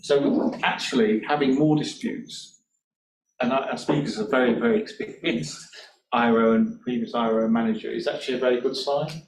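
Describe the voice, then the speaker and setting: A man gives a council committee his professional view, stressing his points with deliberate repetition. He is heard from a distance in an echoey meeting room, with faint hiss behind him. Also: mid-range voice, fairly even intonation. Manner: deliberate, emphatic, with repeated words. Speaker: independent reviewing officer manager.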